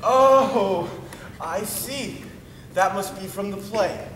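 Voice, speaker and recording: A man's voice: high voice, drawn-out words, fairly bright tone, distant mic, exaggerated voice. A man voicing a fussy, flustered actor reluctant to play a female role. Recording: echoey room, noisy.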